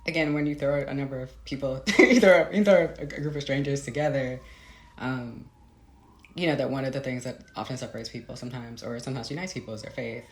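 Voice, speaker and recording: A woman's voice: rumbling low, fairly quick. A woman giving a reflective, conversational interview as a novelist, thoughtful and hesitant, circling back as she builds her ideas. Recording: roomy, echoey sound.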